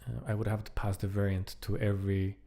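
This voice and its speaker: A man giving casual live-coding commentary, thinking aloud in a halting, muttering way as he works. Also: fairly low pitch, flat delivery, warm tone. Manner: halting, muttering to himself while working. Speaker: programmer live-streaming a coding project.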